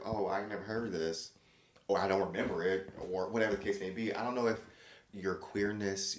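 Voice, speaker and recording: A man hosting a solo podcast, talking casually in a rambling, chatty way with many restarts and lively quoted voices. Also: fairly low pitch, reverberant. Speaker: podcast host.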